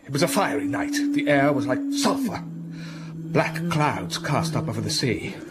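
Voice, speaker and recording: A brooding gentleman in a radio drama, slow, measured, passionate bursts, mid-pitched voice. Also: very animated, very noisy. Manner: slow, brooding storytelling with sudden rises of passion.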